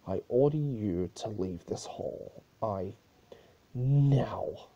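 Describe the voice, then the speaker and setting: A man voicing a grieving, commanding pony princess in a halting manner for a story reading. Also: on the low side, animated, warm tone, muffled recording, heavily acted. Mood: cold command.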